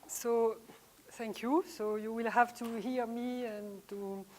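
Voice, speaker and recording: A middle-aged woman from France with a French accent, giving an academic lecture in a hesitant way with many pauses. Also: on the high side, steady tone, background noise. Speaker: guest lecturer.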